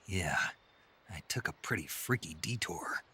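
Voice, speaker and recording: An actor playing a gruff, weary middle-aged male soldier in a video game, speaking tersely in a gravelly, husky voice. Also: animated, a little slow, bright, forceful tone, a little hiss or hum, heavily acted. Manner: terse, low-key, questioning.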